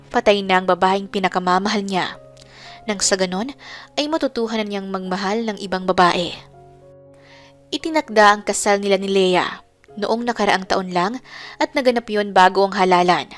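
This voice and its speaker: A woman narrating a scripted romance story as a dramatic storyteller. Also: medium pitch.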